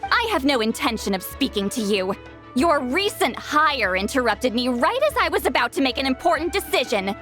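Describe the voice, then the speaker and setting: Haughty old-as-centuries shaman lady, highish lively voice, prickly and curt, video game style. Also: normal speed, soft background music. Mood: haughty, threatening. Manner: haughty, curt, theatrical sighs and threats. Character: centuries-old shaman woman, youthful-sounding, proud, prickly, reluctant softie.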